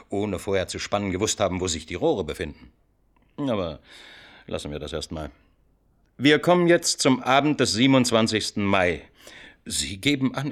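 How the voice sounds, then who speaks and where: A police interrogator in a German radio play. He has a lowish voice and leans on the suspect insistently, colloquial and needling, as ready to threaten as to cajole. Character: pushy, cajoling male police interrogator, by turns threatening and chummy.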